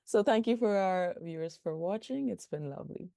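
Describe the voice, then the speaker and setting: A woman's voice: mid-range voice, expressive, moderate pace, creaky, no room sound, studio quality. A young adult woman hosting an interview, hesitant and filler-heavy as she asks questions and wraps up. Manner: hesitant, many fillers, soft laughs.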